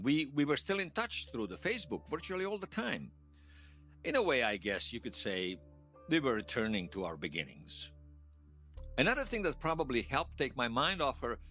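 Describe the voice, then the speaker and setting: A man's voice: mid-range voice, pushed and bright. An actor playing a wry, folksy Bosnian man who tells his past in an audio drama interview. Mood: wistful, matter-of-fact. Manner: wry storytelling with colourful folk sayings. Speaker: storyteller being interviewed.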